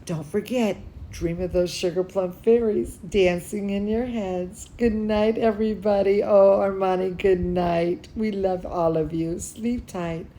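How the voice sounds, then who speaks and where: Woman, mid-pitched voice, unhurried pace, expressive singsong delivery, cozy bedtime story chat for children. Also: slight background noise. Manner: expressive, soothing, singsong talk to young children; chats playfully to a pet or companion. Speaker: dance studio teacher reading bedtime stories to children.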